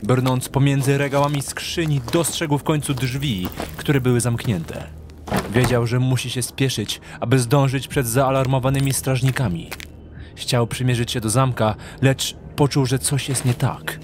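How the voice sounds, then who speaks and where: A man's voice: mid-range voice, steady tone, a little slow, noisy background. A man narrating a dramatic audio drama from a script. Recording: clear music behind the narration.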